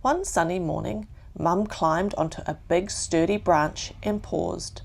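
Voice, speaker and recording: A woman with a New Zealand accent narrating a calm bedtime story for children, gently and with deliberate pauses. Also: lowish voice, creaky.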